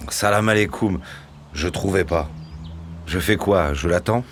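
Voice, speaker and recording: A gruff delivery driver in an audio drama, with a very low voice and few words. He sounds hurried and hesitant, with clear car and street noise behind him. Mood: weary, resigned. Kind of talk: naturalistic audio drama acting.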